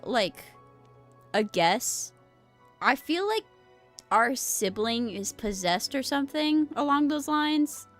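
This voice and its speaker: A woman's voice: mid-range voice, a bit bright, no room sound. A woman live-streaming a game with music playing behind her. She talks casually in a rambling, self-interrupting way and is easily amused. She sounds a bit under the weather with a cold. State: sick with a cold. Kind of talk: casual live game stream commentary, reading dialogue aloud.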